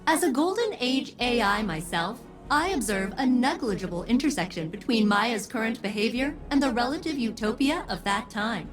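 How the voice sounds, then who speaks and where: An actress playing a precise, opinionated artificial intelligence in a video game, formal and wry. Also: female voice, fairly high pitch, lively intonation, normal speed, few pauses, soft background music, close to an everyday voice. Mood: dry, analytical. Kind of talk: scripted video game acting.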